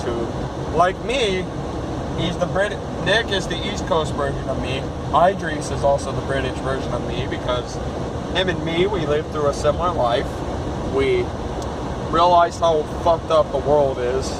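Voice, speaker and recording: A nineteen-year-old man rants to the camera, swearing freely and rambling from point to point. His voice sits a little high for a man, and loud road and engine noise roars under everything he says. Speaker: vlogger. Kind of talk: casual rant to camera. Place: in a car after work.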